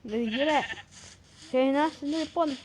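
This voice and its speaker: A woman narrating a game cartoon in a playful way, switching quickly between the characters' lines. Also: sits high, very noisy.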